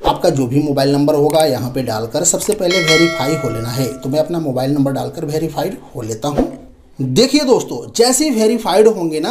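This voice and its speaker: A man's voice: on the high side, a little mellow. A man with an Indian accent hosting an informal step-by-step tech tutorial, addressing viewers directly and repeating his points. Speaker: tech tutorial video host.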